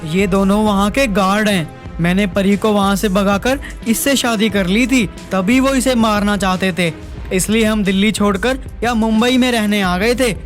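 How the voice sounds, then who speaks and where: A man voicing a devoted, earnest young husband in an animated melodrama. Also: high voice, normal speed, close to an everyday voice. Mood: earnest, worried. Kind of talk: animated story voice acting. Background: background music.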